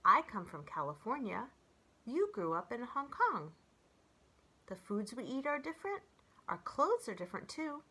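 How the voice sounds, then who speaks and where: A woman in her forties reading children's poems aloud and chatting between them in a gentle, expressive way, as a storyteller speaking to young children. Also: fairly high pitch, slightly rough. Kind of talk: read-aloud of children's poems with casual commentary. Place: small furnished room at home, indoors.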